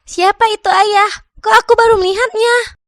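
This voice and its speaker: A woman's voice: extremely high pitch, fairly even intonation, moderate pace, dry recording, studio quality. A woman voicing a curious, innocent young village child in a folk tale cartoon, wide-eyed and inquisitive. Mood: curious, admiring.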